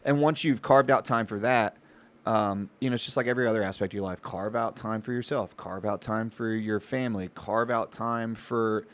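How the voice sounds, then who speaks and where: A man's voice: fairly low pitch, moderate pace. A man co-hosting a podcast, speaking in a casual, reflective conversational way. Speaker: podcast co-host and business owner.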